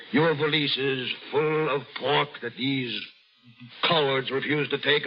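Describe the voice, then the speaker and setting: A man's voice: mid-range voice, breathy edge. An actor playing a drunken, theatrical Frenchman, mocking and grandiose, in a bombastic old-time radio style. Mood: maudlin, grandiose. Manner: bombastic, declamatory, slow and deliberate. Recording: dull old radio recording.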